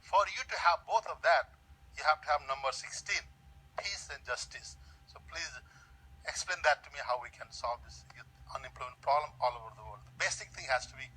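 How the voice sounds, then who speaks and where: A man's voice: a little high, fairly quick, sharp tone, slightly rough. A man from Nepal, asking an earnest, pleading question from the floor of a large conference hall and repeating his key words. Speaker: audience member asking a question. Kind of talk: public question at a panel.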